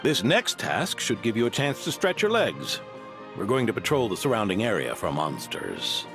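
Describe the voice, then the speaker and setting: A man's voice: on the low side, huge pitch swings, normal speed. An actor playing a genial, jaunty older knight captain in a video game, grandfatherly and undaunted. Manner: jaunty, reassuring. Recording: game music underneath.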